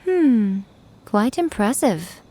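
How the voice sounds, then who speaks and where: An actress playing an amused, sly fox shrine priestess in a video game, measured and teasing. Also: female voice, on the high side, sing-song, dark tone, a little hiss or hum. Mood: amused, intrigued.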